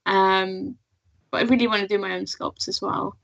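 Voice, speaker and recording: A woman, a toy customizer being interviewed, talking modestly with many pauses and little laughs. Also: mid-range voice, steady tone, creaky, fairly bright tone, dry recording. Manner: modest, hesitant, many ums, laughs often. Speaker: guest artist and toy customizer. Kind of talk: casual podcast interview. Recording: dull lo-fi sound.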